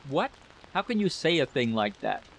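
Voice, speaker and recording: A man's voice: mid-range voice, big pitch swings, normal speed, gravelly. A man voicing a troubled, evasive husband in a story reading, guarded and brief, on a noisy recording. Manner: guarded, murmuring, short sentences. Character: troubled, evasive husband drafted into a scout party.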